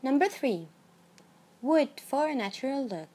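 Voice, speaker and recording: Slow, higher-voiced woman narrating a list. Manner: measured, even read-aloud delivery. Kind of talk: scripted narration of a numbered list.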